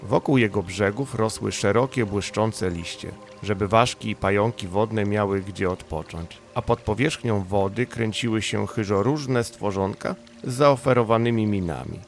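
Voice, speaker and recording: A man's voice: lowish voice, moderate pace, few pauses. A man narrating a children's audiobook as a bedtime story, with music playing behind him.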